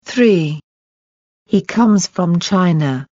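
A woman reading short scripted lines for a language listening test, deliberate and clearly enunciated. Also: lowish voice, animated, a little mellow, dry recording, lo-fi sound.

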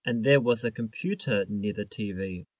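A man reading scripted English lesson sentences for a listening test, in a measured, carefully enunciated way. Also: lowish voice, no room sound, rough recording. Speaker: exam listening test reader.

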